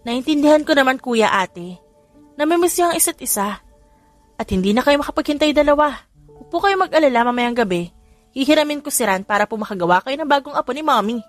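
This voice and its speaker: A Filipino woman voicing a flustered, teasing younger sibling in a comic audiobook romance, excitable in manner. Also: high voice, fast talker, pitched above the performer's own voice. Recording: music under the voice.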